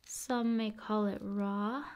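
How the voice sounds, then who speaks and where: A soft-spoken woman hosting an ASMR video, musing casually in short, paused phrases and often slipping into whispers. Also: mid-range voice, steady tone. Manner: unhurried, pausing between short phrases.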